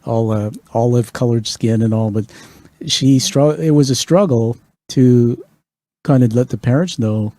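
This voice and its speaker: A man's voice: mid-range voice, unhurried, warm tone, no room sound. A man from England hosting an interview, talking enthusiastically and rambling a little as he praises his guest's book. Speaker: podcast host. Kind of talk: conversational interview.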